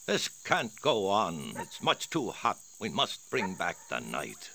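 A man's voice: low voice, wildly swooping pitch, slow, bright, forceful tone, full character voice. A man playing a solemn, declamatory village elder in a children's storybook game. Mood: grave, resolute. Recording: faint rainforest insect noise.

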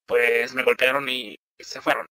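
Nervous young lackey put on by a man with a voice changer, raspy and bright. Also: steady tone, a little slow, dry recording. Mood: timid. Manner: timid, apologetic. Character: nervous young male underling "Miguel". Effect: voice changer pitch shift.

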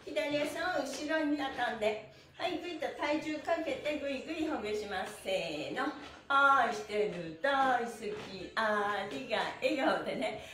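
A woman's voice: high voice, lively intonation, measured pace, fairly bright tone. A middle-aged woman leading a stretching class, giving cheerful, encouraging cues and rhythmic chants, recorded from a camera a few metres away in a small room. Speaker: stretching instructor and host. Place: small bare-walled indoor studio. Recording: echoey room, distant microphone.

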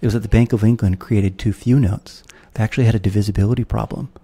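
American man explaining calmly, dark voice. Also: on the low side, slightly rough. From United States. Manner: measured, explanatory. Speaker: guest philosopher. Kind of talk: podcast interview. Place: room at home with guitars. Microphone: studio mic with headphones, video call.

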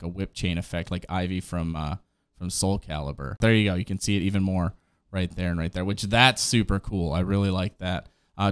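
Lower-pitched enthusiastic man, reaction streamer. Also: smooth, no room sound. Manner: enthusiastic, slangy. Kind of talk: casual reaction commentary. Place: small home gaming room. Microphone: close desk microphone on boom arm. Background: music.

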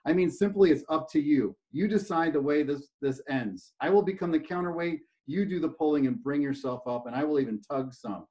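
A man's voice: fairly high pitch, a little fast, hardly any pauses, close to an everyday voice. A man voicing a frustrated young man on a bridge, strained and raising his voice over the edge. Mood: resolute. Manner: strained, shouting, exasperated. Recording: dull lo-fi recording.

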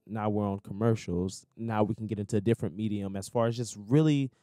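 A soft-spoken man in his twenties, a musician guest talking earnestly in a casual interview, with lots of restarts and fillers. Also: on the low side, steady tone, brisk, dry recording, studio quality. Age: 20s. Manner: earnest, rambling with many restarts and likes. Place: hotel-style living room, indoors. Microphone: boom mic on stand.

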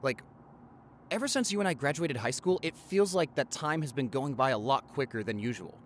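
Man playing an animated, affectionate young boyfriend. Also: medium pitch, normal speed, a little hiss or hum, natural voice. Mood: chatty, amazed. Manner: gushing, chatty, with repeated words and exclamations. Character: affectionate, playful young doctor boyfriend on anniversary date. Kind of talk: intimate boyfriend audio roleplay, addressing the listener.

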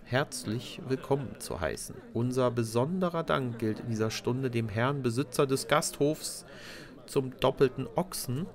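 A man's voice: mid-range voice, measured pace, close to an everyday voice. A man voicing a pompous, blustering village rifle club captain in a storybook audio play, commanding and ceremonious.

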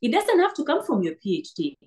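A woman's voice: sits high, wildly swooping pitch, brisk, a little mellow. A woman in her thirties with a Kenyan accent, a guest talking earnestly over a video call and often restarting words. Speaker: guest, PhD graduate. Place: indoor room, seated on sofa. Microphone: laptop webcam on video call.

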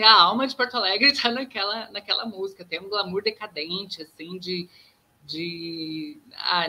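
A woman's voice: on the low side, pushed and bright, a little hiss or hum. A woman in her thirties from southern Brazil with a Gaúcho accent, a guest artist talking reflectively on a video call from a room at home, often ending thoughts with tag questions. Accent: Gaúcho Brazilian Portuguese. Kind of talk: casual interview talk. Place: home room with plants, indoors.

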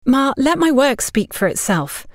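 A woman's voice: high-pitched, very animated, unhurried, dry recording, clean studio sound, pitched above the performer's own voice. A woman voicing an earnest, polite young female job seeker in an audiobook, respectful and eager. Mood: hopeful, pleading.

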